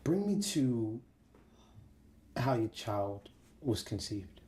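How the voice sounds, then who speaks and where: A man's voice: medium pitch, very animated, takes it slowly, dark tone. A man hosting an interview show, speaking in a presenter's style of narration and host talk.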